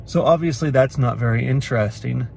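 A man's voice: mid-range voice, a little fast, gravelly, very noisy. Car vlogger, man, 30s, from the Pacific Northwest; plain mid voice, loose and chatty, phone mic inside a car. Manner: chatty, matter-of-fact, many uhs and restarts. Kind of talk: casual vlog talk to camera. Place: inside a parked car at night. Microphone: phone camera at arm's length.

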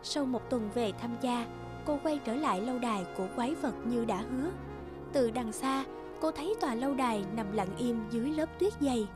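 A woman's voice: on the high side, brisk. A woman narrator reading a scripted fairy tale in a storytelling style. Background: music.

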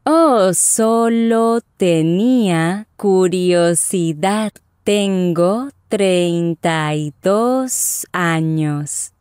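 A woman voicing Isabella, a friendly young traveler in a scripted lesson dialogue, speaking with clear careful enunciation. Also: fairly low pitch, drawn-out words, few pauses, a little mellow, no room sound, studio quality. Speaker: voice actor in language lesson dialogue. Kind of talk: scripted slow and normal-speed lesson dialogue.

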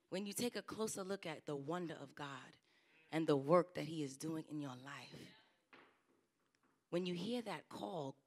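A young woman preaching a trial sermon at a lectern into a handheld mic, earnest, her emphasis rising and falling. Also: mid-range voice. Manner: earnest, rising and falling emphasis, softening to a near whisper at the close. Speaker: licentiate preacher. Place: church stage, indoor. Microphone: handheld microphone at a lectern.

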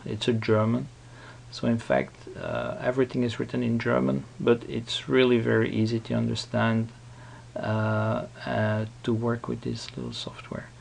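A man giving an unscripted software tutorial, explaining step by step in a halting, repetitive way. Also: mid-range voice, flat delivery, creaky. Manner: explanatory, halting, repeats himself. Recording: noticeable background noise.

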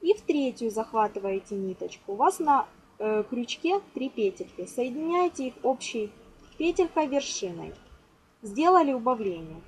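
A woman with a high-pitched, very animated voice leads a hands-on craft tutorial. She explains informally, step by step, using cute diminutives. Clear background noise sits behind her in an amateur home recording. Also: normal speed, poor audio. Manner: instructional, counts aloud, uses affectionate diminutives. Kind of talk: step-by-step crochet lesson, informal narration. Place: indoors at a table.

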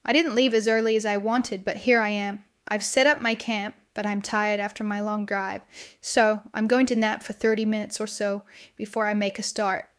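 A woman's voice: medium pitch, steady tone, moderate pace, smooth, no echo, clean studio sound, close to an everyday voice. A woman playing a young student confiding a horror story to her video diary in a dramatic way. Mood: casual, tired. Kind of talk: first-person horror audio diary.

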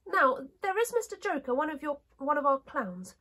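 A woman's voice: high voice, big pitch swings, normal speed, pushed and bright, voice pushed up, exaggerated voice. A woman voicing a dainty, prim little china princess in a storybook, with a small, timid voice. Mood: confiding, prim. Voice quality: tiny, frightened little voice. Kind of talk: storybook character voice.

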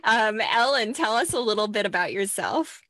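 A woman's voice: on the high side, lively intonation, moderate pace, fairly bright tone. A woman moderating a panel over a video call, giving brief, upbeat prompts.

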